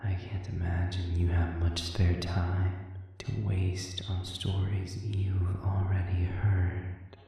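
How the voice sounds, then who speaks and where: A man playing a sadistic, coolly amused demon in an audio roleplay, speaking in a measured, silky, condescending way with soft edges that slip toward a whisper. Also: deep voice, completely flat delivery, faint music behind. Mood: coolly polite, probing. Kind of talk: scripted audio roleplay monologue. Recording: very echoey reverberant room, distant.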